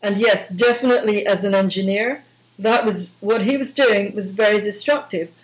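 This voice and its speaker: A woman's voice: mid-range voice, normal speed, faint music behind, poor audio. A woman from Guyana, an environmental activist, talking firmly and conversationally in a phone interview, with small restarts.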